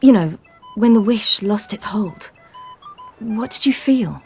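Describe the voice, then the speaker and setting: A frank, headstrong young British warrior woman from a fantasy game. She speaks earnestly and directly in a mid-pitched voice, over clear background music. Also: lively intonation, takes it slowly, darkish tone, exaggerated voice. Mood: wistful, confiding. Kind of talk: video game voice acting.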